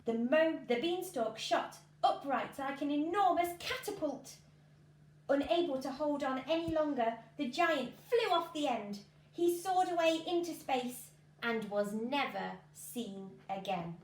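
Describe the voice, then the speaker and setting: A woman's voice: high-pitched, lively intonation, measured pace. A woman, reading a children's story expressively. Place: living room at home, indoors. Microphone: camera a few feet away. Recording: echoey room with background noise.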